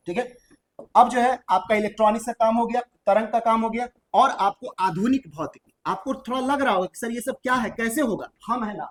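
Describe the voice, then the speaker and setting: Male coaching teacher, high voice, Bihari accent. Informal, emphatic lecturing with plenty of repetition. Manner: emphatic, repetitive, with colloquial tag phrases.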